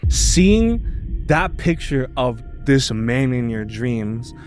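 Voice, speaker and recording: A man's voice: mid-range voice, very animated, very slow, a little mellow. Casual male video commentator over music. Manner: informal, slangy, swearing. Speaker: video essayist. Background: background music.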